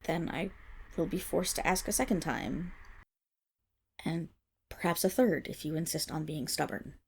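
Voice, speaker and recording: A woman's voice: low voice, unhurried, vocal fry, husky, exaggerated voice. A woman playing a haughty, indignant young wolf monstergirl of noble birth in a dramatic ASMR roleplay, speaking disdainfully straight to the listener. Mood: grudging, wary.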